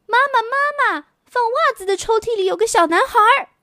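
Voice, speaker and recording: Woman doing a shrill excited little girl. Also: fairly quick, no room sound, studio quality, heavily acted.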